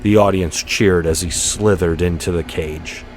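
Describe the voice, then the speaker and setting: A man's voice: low voice, fairly even intonation, unhurried, darkish tone, low-quality recording. A man giving a dramatic, scripted audiobook narration of a story, with music behind him.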